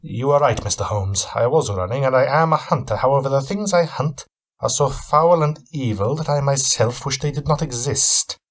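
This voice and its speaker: A man's voice: mid-range voice, normal speed, voice pushed up, lightly acted. A man voicing a weary, haunted male vampire hunter who talks in an earnest, formal, intense way. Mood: grave.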